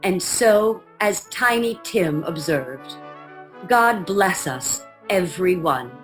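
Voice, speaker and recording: Low-voiced woman narrating a radio play. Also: animated, soft background music. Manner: measured, storytelling emphasis. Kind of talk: scripted radio drama narration.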